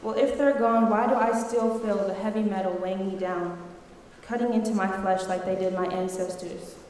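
A woman's voice: medium pitch, steady tone, moderate pace, darkish tone, a little hiss or hum. Woman, spoken word poet, impassioned, emphatic, rhetorical, strong hall echo. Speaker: student poet. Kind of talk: spoken word poetry recital. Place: large echoey hall. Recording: echoey hall with long reverb.

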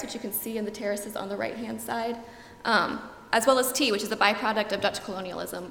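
A young woman gives a slide talk to an audience, earnest and informative, with lots of ums. Her voice sits fairly high and rings out in a big echoey hall with a long tail of reverb. A faint room hum sits under her words. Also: sharp tone. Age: young adult. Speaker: student presenter.

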